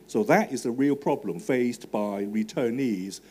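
A man's voice: mid-range voice, unhurried, a little mellow, a little hiss or hum. Man with a Hong Kong accent. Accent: Hong Kong English. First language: Cantonese. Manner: conversational with fillers, asks the audience questions. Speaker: speaker at a student evangelistic talk. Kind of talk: live talk to a student audience. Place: large marquee tent with a stage. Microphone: handheld microphone.

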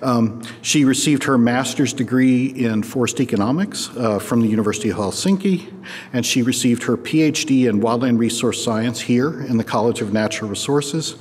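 An older male professor at a podium introducing a guest lecturer, halting and full of filler words. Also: medium pitch, husky. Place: large lecture hall, at a podium. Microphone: podium microphone. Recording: reverberant hall, faint background noise.

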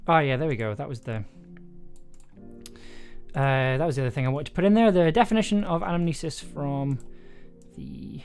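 A man's voice: on the high side, moderate pace, long pauses, a little mellow, faint music behind. A young man hosting a casual livestream, sounding tired, rambling and often interrupting himself as he thinks aloud. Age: young adult. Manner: rambling, hesitant, self-interrupting. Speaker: livestream host, audio drama maker. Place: small indoor room at a desk.

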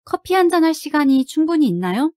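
A woman's voice: sits high, very animated, very fast, a little mellow, dry recording, studio quality. A woman reading scripted translations of short phrases for a language lesson.

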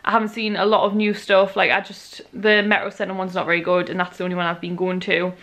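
Woman in her twenties, tired and croaky, rambling vlog chat into an arm's-length camera in a small bedroom. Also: medium pitch, flat delivery, fast, a bit bright. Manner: rambling, chatty storytelling. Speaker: vlogger. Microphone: handheld camera at arm's length.

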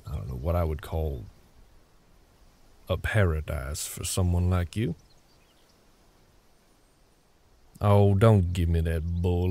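A man playing a deep, gravelly gruff older cowboy. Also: dark tone. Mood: probing, blunt. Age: middle-aged. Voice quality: low, gravelly. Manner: gruff, terse, unhurried drawl. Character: gruff older cowboy ranch hand, guarded but caring. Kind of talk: intimate ASMR roleplay acting.

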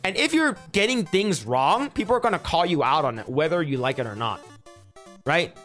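Young guy streaming at his desk, headset mic, slightly high voice, swears a lot, faint music in back. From United States. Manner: casual, swears, reads posts in a flat deliberate tone. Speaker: streamer reacting to posts. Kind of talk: casual commentary, reading posts aloud. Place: small room indoors at a desk.

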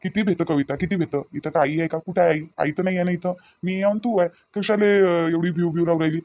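A man's voice: on the high side, steady tone, fast, phone-quality sound, natural voice. A man voicing a young newlywed husband in a cartoon, doting and a little impatient, coaxing insistently in a Varhadi Marathi accent from Vidarbha. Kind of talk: cartoon dialogue acting in colloquial dialect.

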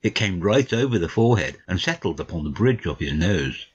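Modest gentleman storyteller , played by a man: deep, husky, measured and courteous, audiobook on slightly muffled audio. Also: sing-song, full character voice. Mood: measured, wry. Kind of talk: dramatic audiobook reading, storytelling.

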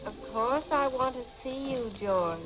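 An actress playing a cool, sardonic young wealthy wife, in dry, arch 1940s Hollywood film style. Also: female voice, high-pitched, lively intonation, unhurried, lo-fi sound. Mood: gushing. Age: young adult. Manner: arch, dry, clipped irony. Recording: old film soundtrack, music behind.

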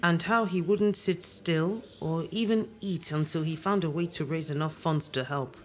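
A woman's voice: low voice, steady tone, normal speed, lightly acted. An actress playing a proud middle-aged mother in an audio drama, pointed and guilt-tripping with her grown son. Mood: reflective, pointed.

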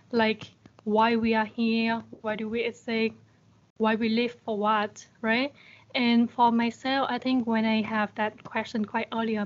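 Young woman speaker, slightly high voice, conversational and questioning. Somewhat dull video-call audio. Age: young adult. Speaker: guest speaker. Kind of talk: conversational motivational talk. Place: indoors on a group video call.

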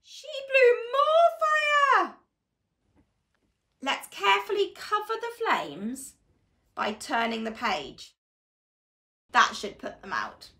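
A young woman reading a picture book aloud to small children in an animated, expressive, deliberate storytelling manner. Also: very high-pitched, vocal fry. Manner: exaggerated, expressive, slow and deliberate delivery to children. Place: small living room, on a sofa indoors.

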